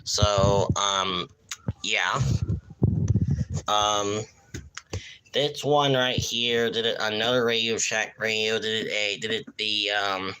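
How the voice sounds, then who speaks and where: A man casually presenting on a live stream in a halting, repetitive way, often losing his thread. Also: lowish voice, steady tone, noisy background. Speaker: live streamer showing a radio collection.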